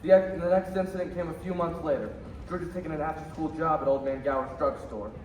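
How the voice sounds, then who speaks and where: Senior angel, heaven's calm superintendent male actor, radio play, slightly high voice, distant on a stage. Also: fast talker, natural voice. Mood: explaining. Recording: echoey stage from far off, noisy.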